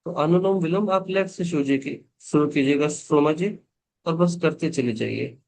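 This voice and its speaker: A man's voice: fairly high pitch, moderate pace, vocal fry, a little mellow, poor audio. A man, a yoga teacher explaining breathwork on a video call, patient and reassuring, mixing English words into his Hindi. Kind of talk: online yoga class instruction.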